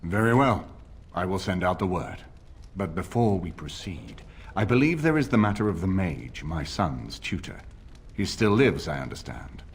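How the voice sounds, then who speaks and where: An actor playing a grave, middle-aged nobleman in a fantasy game, speaking formally and with measured authority. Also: low voice, big pitch swings, breathy edge, exaggerated voice. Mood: stern, commanding. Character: grave older nobleman lord rallying allies against a usurper. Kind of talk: video game voice acting, dramatic dialogue.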